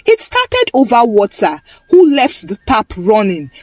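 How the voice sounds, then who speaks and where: A woman's voice: a little high, huge pitch swings, measured pace, a little mellow, dry recording. A woman with a Nigerian accent narrating a scripted story in a dramatic storytelling style.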